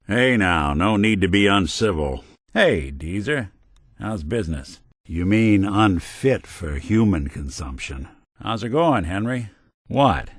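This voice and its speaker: A low male voice gives life to a decent, weary robot detective out of a noir video game. The delivery is wry and sardonic, with folksy tough-guy turns and lively rises and drops. The sound is very dry, with no room around it. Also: no echo. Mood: dry, friendly.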